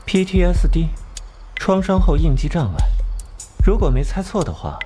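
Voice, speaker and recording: An actor voicing a cold, aloof genius detective in an animated drama, lecturing with mocking detachment. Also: male voice, sits high, moderate pace. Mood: smug, probing. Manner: mocking, lecturing, coolly rational. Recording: music behind the voice.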